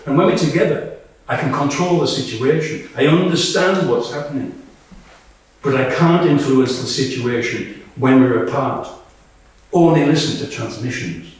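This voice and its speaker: A man performing a dramatic monologue as a candid, war-weary woman soldier, with deliberate, emphatic phrasing and pauses. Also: mid-range voice, lightly acted. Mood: anxious, candid. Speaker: actor reading a monologue. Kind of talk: live dramatic monologue reading. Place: small live reading indoors. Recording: echoey room, distant microphone.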